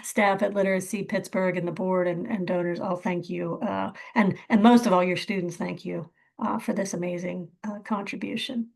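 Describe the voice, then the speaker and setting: Female nonprofit leader, gravelly edge, informal and appreciative presenting style. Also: fairly low pitch, fairly even intonation, moderate pace, few pauses. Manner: appreciative, thanking. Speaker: nonprofit chief executive presenting.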